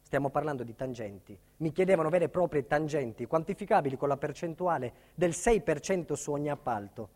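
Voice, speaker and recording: A man's voice: on the high side, lively intonation, brisk, few pauses, darkish tone. A man playing a defiant, indignant building contractor, delivering an emphatic theatrical monologue about his ordeal. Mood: bitter explaining. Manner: emphatic, dramatic storytelling. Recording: reverberant hall with long echo.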